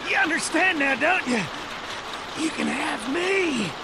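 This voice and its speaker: Crazy cannibal farm boy, real high creaky voice, taunting, rain noise all over it. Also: lively intonation, unhurried, a loud crowd, full character voice. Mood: pleading, taunting. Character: deranged, smug cannibal farm brother, taunting and creepy. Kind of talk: video game drama acting.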